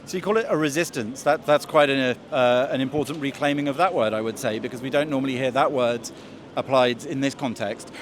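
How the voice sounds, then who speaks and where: A man reporting from an outdoor street rally and interviewing attendees in a measured, inquisitive way. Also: medium pitch. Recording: busy crowd noise outdoors. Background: crowd.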